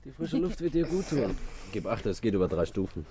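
An actor playing a suave, coolly persuasive young gentleman in a German radio play, speaking in a measured, wry way. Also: mid-range voice, sing-song, crowd noise, close to an everyday voice.

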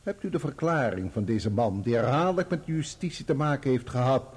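A man acting in a radio play as a sharp, insistent barrister, formal, pointed and sardonic as he questions a witness. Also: medium pitch, animated, brisk, breathy edge. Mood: probing, formal. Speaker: actor playing a lawyer.

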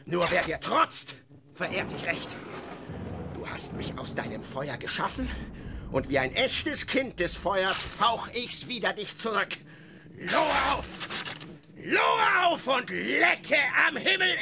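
An actor playing a fanatical, defiant older whaling captain in a radio drama, declamatory, commanding and grandiose. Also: male voice, very high voice, vocal fry, noisy background, full character voice. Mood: ecstatic defiance.

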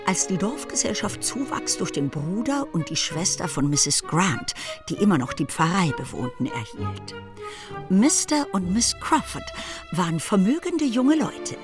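A woman narrating a German literary radio play from a script, in a wry, expressive manner. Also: medium pitch. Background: music.

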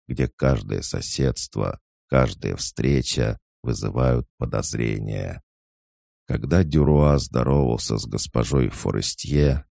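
A man narrating a classic novel in a measured, even reading style, close to the mic. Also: very deep voice, gravelly, dry recording. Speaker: audiobook narrator.

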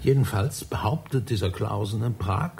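Very husky, pedantic old librarian. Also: male voice, fairly low pitch, normal speed, darkish tone, heavily acted. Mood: pedantic, proud. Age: older. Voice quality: husky, raspy. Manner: pedantic, lecturing, indignant. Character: elderly, obsessive, reproachful male librarian and spider researcher. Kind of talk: satirical German radio play acting.

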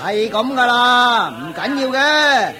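An actor playing a brash, callous slave auctioneer in a radio play, pushy and mocking. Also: male voice, extremely high pitch, animated, slow, heavily acted. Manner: pushy, mocking, sells loudly. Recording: market crowd sound effects behind. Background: crowd murmur.

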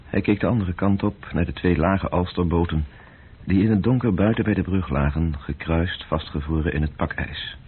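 A man's voice: low voice, moderate pace. A man narrating a radio drama in a literary, scripted style. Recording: muffled old radio recording with faint noise.

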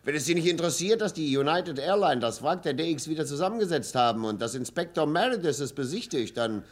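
Lively, callous police officer. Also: male voice, fairly high pitch, fast. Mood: amused, teasing. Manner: jovial, needling. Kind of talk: 1950s German radio crime play acting.

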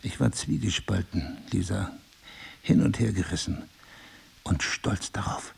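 An actor playing a remorseful middle-aged husband in an audio drama, speaking in a husky, gravelly, deliberate and intimate way. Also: male voice, deep voice, full character voice. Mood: earnest confession. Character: remorseful, pleading husband, brooding and passionate.

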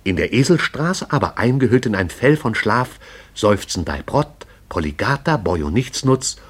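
An actor playing the lyrical storyteller of a radio play, addressing the listener intimately in an incantatory, poetic way. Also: male voice, lowish voice, very animated, measured pace, slightly rough, exaggerated voice. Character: hushed, lyrical omniscient storyteller of a sleeping town. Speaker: narrator.

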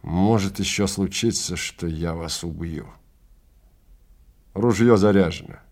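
A man's voice: sits low, measured pace, a little mellow. A man playing a furious, domineering time-safari guide in a science fiction audio drama, barking orders and cursing. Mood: cold menace.

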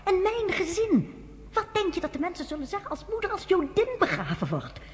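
An actress playing the general's status-minded sister in a Dutch radio drama, arguing in an insistent, scoffing way. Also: female voice, very high-pitched, wildly swooping pitch, a little fast, long reverb tail, heavily acted.

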